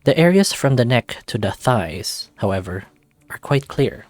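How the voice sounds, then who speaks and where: A man's voice: mid-range voice, sing-song, unhurried, a little mellow, no room sound. A man reading a visual novel aloud as narrator. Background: music.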